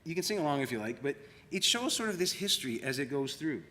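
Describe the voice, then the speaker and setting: A middle-aged man with a Canadian accent giving a public academic lecture in a reflective, deliberate manner, repeating phrases for effect. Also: fairly high pitch, big pitch swings, background noise. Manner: reflective, rhetorical questions and deliberate repetition. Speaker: university lecturer in media studies. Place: large room at a university colloquium. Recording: echoey hall with long reverb.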